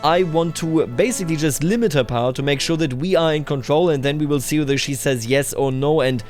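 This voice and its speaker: A man hosting a roleplay game video, commenting in a measured, deliberate way with emphatic repetition, his voice slightly tender. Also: on the high side, a little mellow, soft background music. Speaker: gaming video host.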